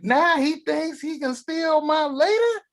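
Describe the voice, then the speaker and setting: Guy doing a crude old rich grandpa, loud-mouthed, drawls real slow. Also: very high voice, animated, full character voice. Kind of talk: table read acting.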